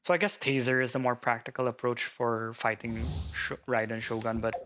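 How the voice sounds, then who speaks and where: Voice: medium pitch, level tone, a little fast, fairly bright tone. A game streamer giving casual live commentary, muttering and thinking aloud. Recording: game music behind voice. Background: video game music.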